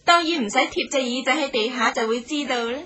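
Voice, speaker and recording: A young martial maiden in a radio drama. Her voice is high, sharp and a little husky, with a playful, coy confidence. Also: brisk, heavily acted. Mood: confident. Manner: coy, playful, confident, sentence-final lilting particles. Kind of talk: Cantonese radio drama acting.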